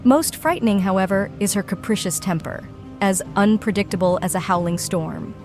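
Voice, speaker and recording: A woman acting a composed elder healer with a mid-pitched voice. Her phrasing is stately and archaic, befitting a fantasy game leader, and faint music plays behind her. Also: normal speed, lightly acted. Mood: gracious, composed. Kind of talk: scripted video game voice acting. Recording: studio voice under game music.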